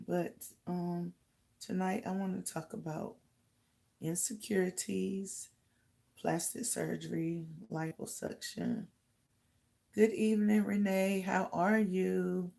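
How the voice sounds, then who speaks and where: A middle-aged woman with a slightly raspy voice chatting casually to live viewers as host, halting and pausing as she goes. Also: on the low side, steady tone, mellow. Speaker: host of a livestream talk channel.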